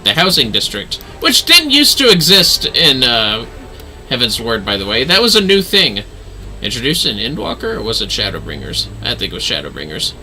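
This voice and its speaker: A male game streamer with a very bright, forward voice. He chats casually as he plays, gushing and swearing, and his pitch moves around in a lively way. Music plays behind him. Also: normal speed, rough recording. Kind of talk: casual livestream gameplay commentary.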